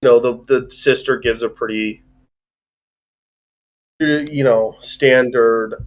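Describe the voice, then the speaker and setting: A man's voice: medium pitch, fairly even intonation, slow. A man speaking casually and offhandedly, like a streamer giving live commentary on a film.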